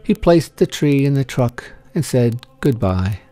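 A man reading a short story as scripted narration, in a gentle, measured storytelling manner. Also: mid-range voice, very animated. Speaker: story reader.

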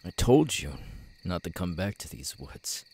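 A man acting a twitchy, unstable young man with tics in an ASMR roleplay, halting and stammering, menacing yet apologetic. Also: low voice, heavily acted. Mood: halting, wary. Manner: halting, stammering, broken by sudden shouted outbursts. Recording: night crickets, steady background noise.

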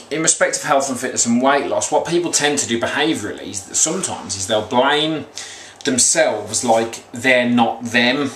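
A man in his thirties with a slightly croaky voice, giving a rambling, earnest motivational vlog talk as a coach. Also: mid-range voice, fairly bright tone. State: slightly croaky after a run. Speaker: weight loss coach. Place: small room at home, indoors. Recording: echoey small room, faint background noise.

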